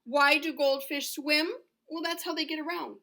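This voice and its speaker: Middle-aged woman, high-pitched, bright forceful tone, schoolteacher addressing small kids, simple question-and-answer phrasing. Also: steady tone, normal speed. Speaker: elementary school teacher. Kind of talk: lesson for young children, read to camera.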